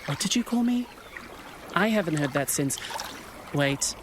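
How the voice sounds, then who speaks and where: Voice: medium pitch, animated, a little slow, noisy background, close to an everyday voice. An actor playing an awkward, wounded, defensive young male swan in a comedic audio drama. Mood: awkward, uneasy.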